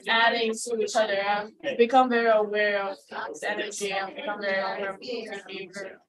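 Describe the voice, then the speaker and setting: A woman's voice: fairly high pitch, distant mic, rough recording. A woman in her thirties answering interview questions in an earnest, searching way. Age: 30s. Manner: earnest, searching, talks of spirituality and energy. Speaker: guest. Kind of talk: reflective interview talk.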